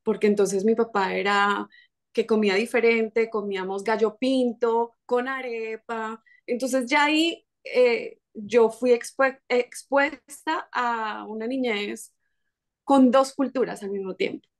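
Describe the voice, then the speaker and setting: A woman in her thirties from Colombia with a Colombian Spanish accent, a podcast guest telling stories in lively casual chat with quick restarts. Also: on the high side. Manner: lively storytelling with frequent restarts and laughs.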